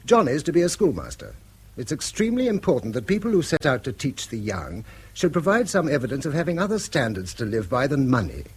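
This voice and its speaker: A man's voice: medium pitch, wildly swooping pitch, fairly quick, slightly rough. An actor playing an earnest, severe middle-aged English father who moralises formally and is easily exasperated, in a British radio drama. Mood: stern, indignant.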